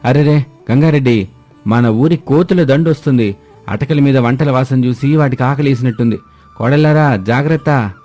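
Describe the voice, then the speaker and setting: A man plays a scheming, teasing villager in a moral tale. The voice is medium in pitch and warm, with colloquial delivery. Music runs underneath. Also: moderate pace, natural voice. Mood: shouted warning. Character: village men, teasing husbands and scheming friends. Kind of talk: moral story character acting.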